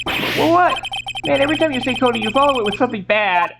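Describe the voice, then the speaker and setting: A woman voicing an excitable, stubborn young boy witness in a video game, exclaiming and stammering his protests. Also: high voice, quick pace, background noise, voice pushed up. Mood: excited, bragging.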